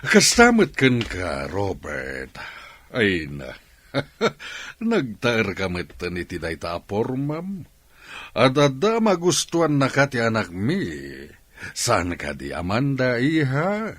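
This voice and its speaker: A man's voice: mid-range voice, huge pitch swings, slow, fairly bright tone, no echo, heavily acted. Wildly swooping, domineering father. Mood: ingratiating. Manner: scolding, overbearing, emphatic. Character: stern, domineering middle-aged father forcing his daughter's marriage. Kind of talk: melodramatic radio drama acting.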